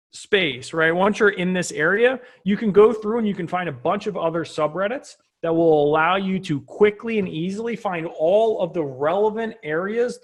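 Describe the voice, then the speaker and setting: A man with a high-pitched voice walks through an on-screen tutorial in a casual, unscripted way. He talks like a coach, conversational and often checking in with short tag questions. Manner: conversational, frequently checking in with "right?". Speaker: online business coach presenting a tutorial.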